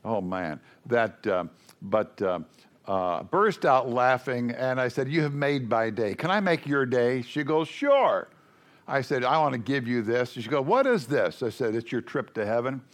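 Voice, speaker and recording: An older man preaching to a congregation in a loud, folksy, joking way, rambling with many fillers. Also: medium pitch, expressive, unhurried.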